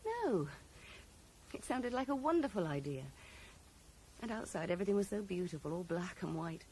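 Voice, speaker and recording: A woman's voice: mid-range voice, big pitch swings, normal speed, darkish tone, natural voice. An actress playing a grieving, guarded and bitter woman in a radio drama, wistful yet curt with her questioner. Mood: wistful recollection. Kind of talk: 1980s radio drama acting. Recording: noisy 1980s radio broadcast recording.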